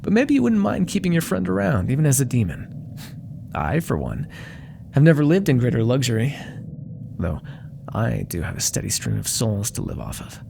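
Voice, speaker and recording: Male actor as silky demon crime lord, widely swinging pitch, gravelly creak, vain, condescending, ASMR roleplay. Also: huge pitch swings, moderate pace, background noise. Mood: smug, teasing. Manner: theatrical, condescending, coolly threatening with mock affection. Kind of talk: ASMR audio roleplay monologue.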